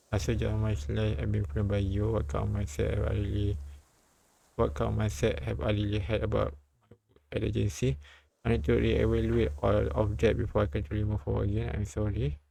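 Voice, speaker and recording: A man reading a story and its dialogue aloud as a live streamer, often halting and repeating phrases. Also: fairly low pitch, monotone, creaky, mellow. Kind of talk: read-aloud narration and dialogue translation with side comments.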